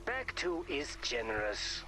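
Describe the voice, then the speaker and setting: A man voicing a literal-minded, politely sardonic robot teammate in a video game, deadpan and formal, with music behind. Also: on the high side, slow pace.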